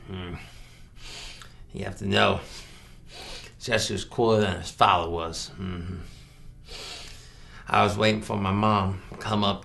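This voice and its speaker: A man performing a film monologue as a simple rural killer, halting and deadpan, grunting "mm" between short phrases. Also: low voice, vocal fry, exaggerated voice. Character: slow, simple, flat-voiced rural killer confessing his past. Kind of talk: improvised film monologue reenactment.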